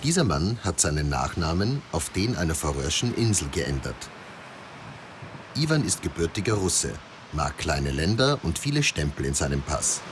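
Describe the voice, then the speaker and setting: Very deep-voiced male documentary narrator. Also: sing-song, moderate pace, background noise. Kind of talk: scripted voice-over narration.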